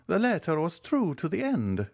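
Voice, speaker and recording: A man's voice: on the high side, wildly swooping pitch, normal speed, vocal fry, darkish tone, no echo, pitched above the performer's own voice, full character voice. A man voicing a timid, eager old Italian ex-major who fawns and exclaims. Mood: eager, fawning. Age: older.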